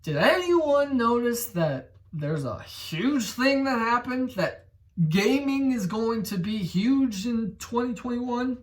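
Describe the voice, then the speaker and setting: A man in his twenties hosting a gaming channel, talking in casual commentary that rambles, gets excited and corrects itself. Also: very high voice, slow pace, distant mic. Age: 20s.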